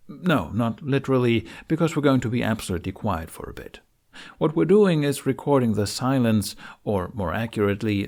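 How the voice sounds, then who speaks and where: A man's voice: on the low side, darkish tone. A man narrating a scripted tutorial in an informal, reassuring way with wry asides, as host. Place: carpeted living room with curtains.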